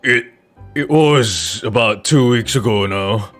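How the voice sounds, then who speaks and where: Man voicing a plain-spoken working-man juror, low-key music behind. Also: slow pace, fairly bright tone. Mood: rueful storytelling. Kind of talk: video game character voicing.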